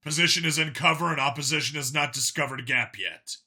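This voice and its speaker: A man's voice: a little high, a little fast, pushed and bright, exaggerated voice. A man voicing a decisive human soldier leading commandos in an audiobook, speaking tersely and with command. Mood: focused, commanding.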